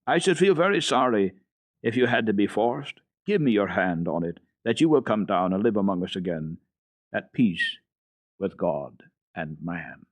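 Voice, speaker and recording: Man reading as an earnest, animated village pastor. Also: on the low side, normal speed, dry recording. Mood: earnest, hearty. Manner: earnest, hearty, preachy. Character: earnest village pastor, male, admonishing but friendly. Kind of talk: dramatic storybook reading.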